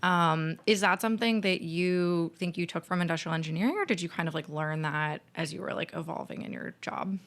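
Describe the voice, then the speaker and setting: A woman's voice: lowish voice, fairly quick, hardly any pauses, a bit bright. A young woman co-hosting a podcast, chatting casually and saying "like" often. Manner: chatty, frequent "like". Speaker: podcast co-host. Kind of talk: casual chat.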